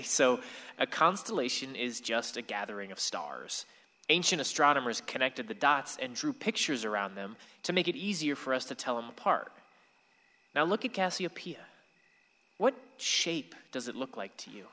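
An actor playing an earnest, bookish stargazer in a radio play. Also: male voice, medium pitch, big pitch swings, normal speed, pushed and bright. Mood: explaining. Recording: very echoey reverberant room or hall.

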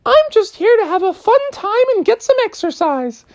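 A woman's voice: very high voice, very animated, normal speed, voice pushed up, full character voice. A woman voicing a team of sweet, cheerful little kittens who meow and speak politely. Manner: meowing, sugary and polite.

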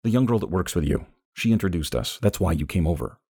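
A male narrator acts out an uneasy, guilt-ridden man in an audiobook. The voice is deep and husky, hesitant and defensive, with a pleading edge. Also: sing-song, fast, darkish tone, dry recording, clean studio sound, exaggerated voice. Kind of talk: audiobook character acting.

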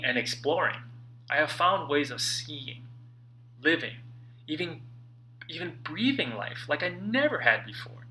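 Male self-help author-narrator: lively swings in pitch, sharp intense tone, emphatic dramatic pauses. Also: a little hiss or hum, poor audio. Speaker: author reading own book.

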